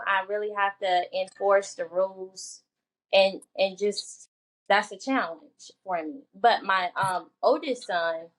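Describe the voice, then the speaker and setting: A soft-spoken woman guest telling her story earnestly in a casual chat over a video call. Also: medium pitch, rough recording. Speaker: guest, mother of two.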